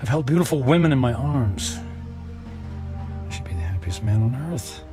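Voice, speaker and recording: An actor playing a self-absorbed, weary wealthy businessman in a radio drama, halting and brooding. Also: mid-range voice, animated, close to an everyday voice. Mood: miserable, desperate. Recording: music behind the voice.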